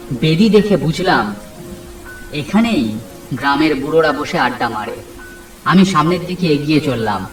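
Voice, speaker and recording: A man narrating a scripted first-person horror story in a dramatic, suspenseful storytelling manner. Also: fairly high pitch. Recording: echoey, distant voice over music. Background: background music.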